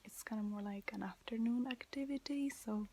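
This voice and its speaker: A woman with an Italian accent gives an informal, gentle language lesson in a breathy whisper, pausing as she explains. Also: on the high side. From Italy. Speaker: language teacher.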